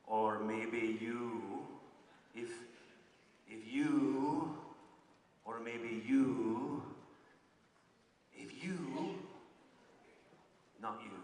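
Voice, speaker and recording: A man's voice: mid-range voice, roomy sound, in a large hall, distant mic, noisy background, voice pushed down. A man performing a stage comedy monologue as a boastful former pirate turned motivational speaker, with a put-on pirate accent, hammy growls and pirate slang. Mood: teasing. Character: boastful male pirate turned self-help guru. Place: large event hall with audience.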